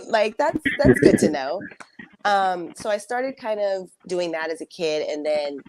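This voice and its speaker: A young woman singer telling her story in a casual interview, soft-spoken and reflective, with frequent fillers. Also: sits low, a little hiss or hum, poor audio. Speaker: singer and songwriter guest.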